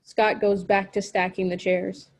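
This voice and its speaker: A woman's voice: medium pitch, flat delivery, unhurried. A woman reading stage directions and scripted narration for a table read held on a video call. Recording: noisy online call audio.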